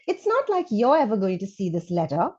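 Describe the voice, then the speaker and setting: A woman voicing a resentful, curious schoolchild's letters in an expressive, candid read-aloud from a children's storybook. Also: mid-range voice, a little fast, husky, dull audio. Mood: grudging.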